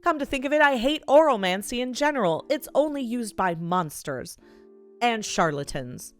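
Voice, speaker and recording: Casting: self-centred undying game villain, acted by a woman, smooth and clear, grumbling and dismissive. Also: on the high side, big pitch swings, normal speed, faint music behind, pitched above the performer's own voice. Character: vain, self-centred immortal skinless villain recalling memories. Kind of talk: reading visual novel dialogue in character.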